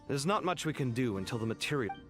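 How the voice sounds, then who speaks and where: A man's voice: medium pitch, lively intonation, quick pace, lightly acted. A man playing a practical, matter-of-fact planner in a video game. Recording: game music behind.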